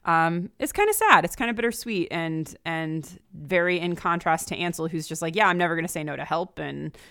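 A woman with a low-pitched voice speaks as a podcast guest in casual discussion. She is thoughtful and analytical, and the recording is dry and close to echo-free. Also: animated, a little fast, a bit bright. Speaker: guest co-host.

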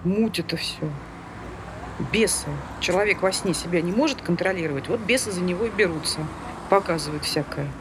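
High-voiced grumpy older woman played by a man. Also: normal speed, lightly acted. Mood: dismissive. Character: grumpy, superstitious older woman fond of her pets. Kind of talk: naturalistic stage dialogue. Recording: street ambience with vehicle noise.

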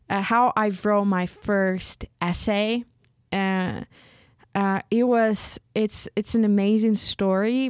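Slow, hesitant woman, Ukrainian native speaker. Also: mid-range voice, clear, resonant tone, no echo, clean studio sound. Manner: slow, hesitant, searching for words with many restarts. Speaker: writer. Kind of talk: reflective personal storytelling.

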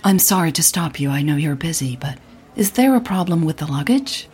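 A woman voicing an annoyed woman traveller in an audio drama, dry but polite, with a husky, breathy voice. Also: low voice, lively intonation, a little fast, a little mellow, exaggerated voice. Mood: polite concern.

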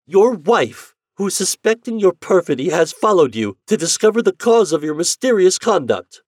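A high, breathless, jealous young wife whose voice swoops up and down with worry, as a man puts her on. The sound is dry and close, with no room around it. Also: big pitch swings, moderate pace, a little mellow, breathy edge, no room sound. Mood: agitated. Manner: high, breathless, emotional delivery.

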